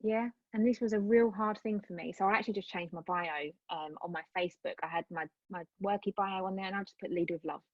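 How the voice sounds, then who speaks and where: A woman's voice: mid-range voice, steady tone, fast talker, few pauses, no echo, highs cut off. A woman, a coach, talking earnestly and rambling a little as an interview guest over a video call. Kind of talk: casual interview chat.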